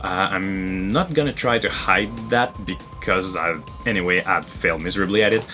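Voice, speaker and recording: A man's voice: sits low, normal speed, sharp tone, faint music behind. A man with a French Canadian accent, French his first language, hosting a casual vlog and talking hesitantly with many fillers. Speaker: host.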